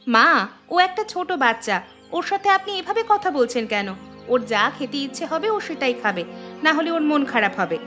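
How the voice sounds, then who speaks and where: A woman voicing a sharp-tongued, protective mother in a Bangla cartoon. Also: high-pitched, sing-song, a little fast, soft background music. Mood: defensive.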